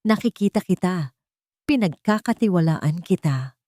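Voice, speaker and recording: A woman's voice: fairly low pitch, expressive, moderate pace, dark tone, no echo, natural voice. A woman voicing an intense, earnest young rich man, the hero of an audio love story. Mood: tender, grateful.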